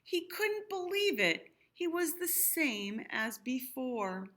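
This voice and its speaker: A woman's voice: sits high, very animated, takes it slowly, fairly bright tone. A woman reading a picture book aloud to young children, expressively, with explanatory asides. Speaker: storybook reader.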